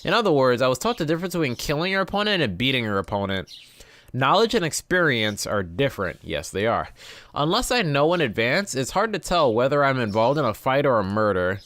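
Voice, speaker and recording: A man's voice: medium pitch, very animated, brisk, fairly bright tone, dry recording. A man hosting a gameplay video, reading visual novel text aloud and dropping in informal asides. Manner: informal, reacts to the text he reads.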